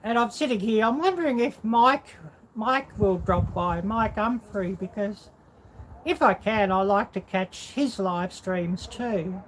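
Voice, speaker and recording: A woman chats casually on a livestream at a very unhurried pace. Her pitch sits in the middle for a woman, and she rambles as she muses aloud to viewers. There is some light ambient noise behind her voice. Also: rough recording. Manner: rambling, musing aloud while answering viewers. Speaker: livestream host.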